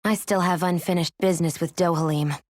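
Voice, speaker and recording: A woman voicing a cool, stubborn young woman in a video game drama, with a husky voice. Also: on the low side, fairly even intonation, fairly quick, a little mellow, no room sound, clean studio sound, natural voice. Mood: cold resolve.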